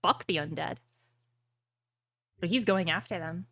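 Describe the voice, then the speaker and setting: A woman's voice: fairly low pitch, measured pace, fairly bright tone. A woman narrating and refereeing a tabletop roleplaying game as its game master.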